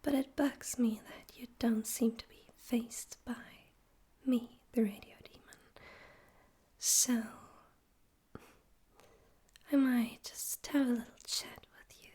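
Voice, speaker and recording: Smug radio demon overlord played by a woman, ASMR roleplay. Mellow breathy whisper, higher-pitched, teasing and condescending. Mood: calm menace. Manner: slow, teasing, theatrical, condescending. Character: smug male radio demon overlord, politely menacing and amused.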